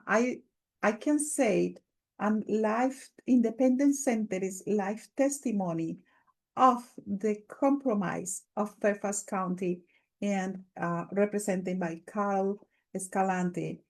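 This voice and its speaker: A woman with a Latin American Spanish accent, a native Spanish speaker, hosting a webinar with spontaneous, halting remarks as she searches for words. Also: mid-range voice, a little mellow. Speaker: webinar host.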